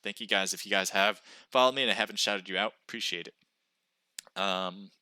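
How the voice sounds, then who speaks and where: A man casting live mobile esports matches, calling fights with quick excitement and chatting with viewers between games. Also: fairly low pitch, smooth, dry recording. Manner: excited calls during action, chatty when reading chat. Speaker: esports caster and stream host. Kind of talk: live play-by-play commentary.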